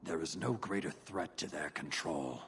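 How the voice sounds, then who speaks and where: An actor playing a stern, zealous warrior-enforcer in a fantasy video game, speaking formally and with command. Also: male voice, very deep voice, normal speed, a bit bright, a little hiss or hum. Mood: cold, commanding. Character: stern, zealous adult male warrior-enforcer of a rigid creed.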